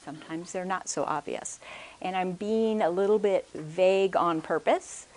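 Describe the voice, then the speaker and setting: Quiet-voiced woman talking at a church workshop, halting, restarts a lot, mic in hand. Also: fairly low pitch. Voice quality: soft-spoken. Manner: hesitant, with many restarts and "okay?" check-ins. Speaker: workshop speaker. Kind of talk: conference workshop talk. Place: conference room. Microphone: handheld or stand microphone.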